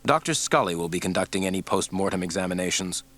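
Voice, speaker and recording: A man's voice: sits low, lively intonation, quick pace, a bit bright. A man voicing a cocky male federal agent who speaks mildly and wryly. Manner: mild, wry, cocky.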